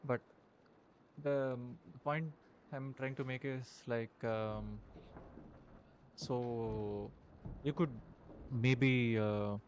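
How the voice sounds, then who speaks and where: A man with an Indian accent chatting in character during a roleplay game, halting and full of fillers. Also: medium pitch, fairly even intonation, lo-fi sound, slight background noise. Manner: hesitant, halting, many fillers. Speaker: roleplay streamer.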